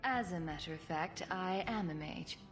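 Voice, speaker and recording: A woman's voice: lowish voice, normal speed, music in the background, lightly acted. A woman playing a bold, resolute mage hero in a video game, blunt and challenging. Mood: assured.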